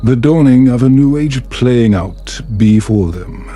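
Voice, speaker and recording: A man narrating a bedtime story in a calm, soothing, measured manner. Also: mid-range voice, faint music behind.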